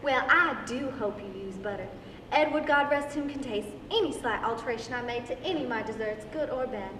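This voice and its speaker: A woman acts out an opinionated, traditional older housewife. She lectures in a folksy, prim way at a high pitch. The stage reading is echoey and distant, with faint noise. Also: brisk, fairly bright tone, natural voice. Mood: proud. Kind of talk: amateur stage play reading.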